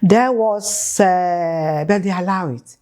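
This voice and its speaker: A woman's voice: on the low side, slow pace, a little mellow. Ghanaian woman in her seventies, recounting her life. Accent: Ghanaian with a touch of British. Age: 70s. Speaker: interview guest. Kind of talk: conversational life-story interview.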